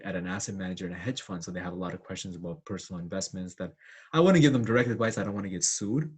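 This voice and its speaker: A man's voice: deep voice, slightly rough. A young man talking casually with many fillers and restarts, sharing his experiences as co-host. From Canada. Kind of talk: casual discussion.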